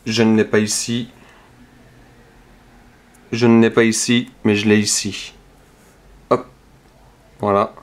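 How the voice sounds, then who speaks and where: A man calmly talks through each number as he scratches lottery tickets, casual and methodical. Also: fairly low pitch, slow pace, long pauses. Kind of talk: casual narration while scratching cards. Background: scratching sounds.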